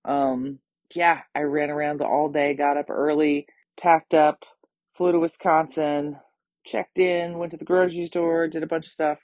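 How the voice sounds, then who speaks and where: A tired woman with a hoarse, scratchy voice, hosting her own podcast in a chatty, upbeat way. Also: low-pitched, level tone, unhurried, a little mellow, no echo, muffled recording. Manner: chatty, upbeat, with small laughs. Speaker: podcast host. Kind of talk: casual solo podcast talk.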